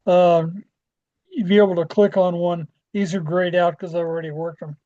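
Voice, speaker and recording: An American man giving an informal software walkthrough as a club trainer, halting and often trailing off as he rambles along. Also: high-pitched, little pitch movement. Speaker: presenter training radio club members. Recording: dull, lo-fi screen-share audio.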